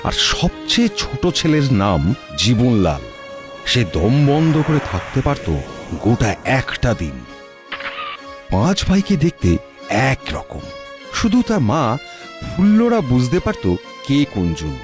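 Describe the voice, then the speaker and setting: A man narrating a folk-tale cartoon in a deliberate, expressive storytelling manner. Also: mid-range voice. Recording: music behind the voice. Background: background music.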